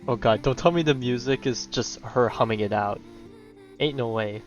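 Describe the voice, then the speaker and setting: Male gamer, middling pitch, rambling wry commentary, muffled recording, faint music. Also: normal speed. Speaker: gaming streamer. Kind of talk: casual gameplay commentary.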